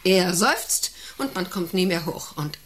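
An actress in a radio play playing an imperious, overwrought woman director, theatrical, moving between hushed musing and sharp commands. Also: on the low side, animated, a little slow, slight background noise. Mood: bitter, provoking. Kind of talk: German radio play acting.

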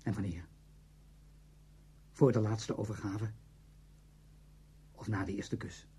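A cruel, brooding man in a radio drama. His voice is very husky and dark, on the low side, and he speaks deliberately, as if guarding a secret. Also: steady tone, heavily acted. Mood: coldly boastful. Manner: deliberate, halting when confessing. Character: cruel, self-absorbed male conductor with a secret, confiding. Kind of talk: Dutch radio play acting.

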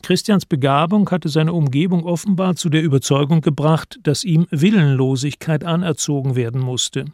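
An actor as a sober chronicler, giving composed, factual, literary narration in a radio play. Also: male voice, on the high side, moderate pace, few pauses, darkish tone, dry recording, clean studio sound, close to an everyday voice. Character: sober male chronicler of royal history. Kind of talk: scripted narration.

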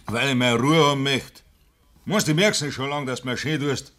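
An actor playing a proud, blunt young farmhand with a Bavarian accent in a dialect radio play. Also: male voice, medium pitch, normal speed, a bit bright, natural voice. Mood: irritated, scornful. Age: young adult.

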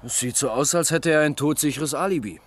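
An actor playing a sceptical police lieutenant in a radio crime drama, measured and deliberate in his questioning. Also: a little high, natural voice. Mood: pondering.

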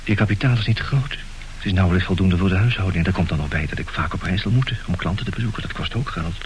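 Radio drama: glib male con-man lover played by a man, deep voice, rapid delivery, falsely tender and calculating. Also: natural voice, rough recording. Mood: scheming. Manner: glib, wheedling, evasive. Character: smooth-talking male marriage swindler, greedy and falsely tender. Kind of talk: Dutch radio play acting. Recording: old radio recording, faint hiss.

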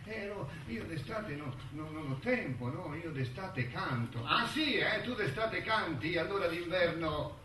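An actor playing a wistful, bitter elderly intellectual in a theatrical monologue, musing and halting as he reminisces. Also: a little high, expressive, pushed and bright. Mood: mocking storytelling. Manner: halting, musing, with rhetorical tags and repetitions. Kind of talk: theatrical monologue in a radio-broadcast play. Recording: echoey stage recording, distant mic, music.

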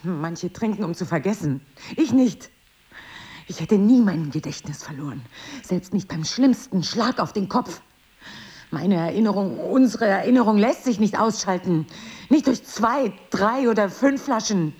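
An actress playing a bitter, defensive wife with a secret, husky and curt, sardonic in an intimate two-person drama. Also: female voice, medium pitch, expressive, unhurried, a little mellow. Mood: defiant.

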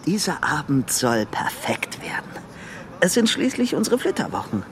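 A man's voice: a little high, wildly swooping pitch, normal speed, a little hiss or hum. An actor playing a deluded, lonely man in a radio play, chatting to an imagined sweetheart with murmured questioning tags, swinging from tender to curt. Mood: giddy, doting.

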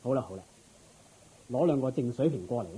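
A man's voice: mid-range voice, animated, a little fast, warm tone, husky, rough recording. An actor playing a dignified, courteous and earnest king in a Cantonese radio drama, stately yet humble. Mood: pleased, instructing.

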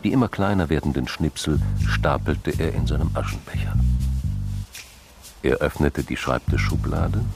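Very deep, gravelly male narrator. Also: animated, moderate pace. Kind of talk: scripted narration in a radio drama. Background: loud music.

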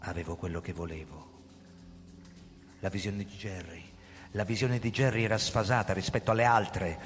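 An actor playing a hunted middle-aged police chief in a radio play, telling his story theatrically, moving between hushed narration and agitated outbursts. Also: low voice, fairly even intonation, moderate pace, natural voice. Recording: long hall reverb, music underneath. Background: music.

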